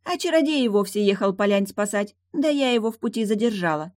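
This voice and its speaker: A woman's voice: medium pitch, animated, fairly quick, darkish tone, dry recording, studio quality, pitched above the performer's own voice, lightly acted. A woman voicing a young female mermaid in an audiobook, teasing, carefree, sly and haughty, with brash exclamations. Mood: matter-of-fact.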